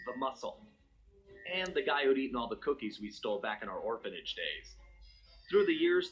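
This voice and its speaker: An American man voicing a suave, confident master thief, narrating a video game cutscene in a smooth, wry, unhurried storytelling manner. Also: medium pitch, lightly acted, rough recording. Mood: wry, fond. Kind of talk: scripted first-person cutscene narration. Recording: music under the voice.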